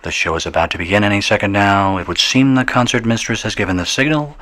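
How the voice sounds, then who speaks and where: An actor playing an eccentric, provocative radio DJ in a radio drama, rambling wryly and verbosely through a theatrical live opera broadcast. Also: male voice, fairly low pitch, pushed and bright. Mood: suave, announcing. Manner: verbose, wry, dramatic, drawn-out musing.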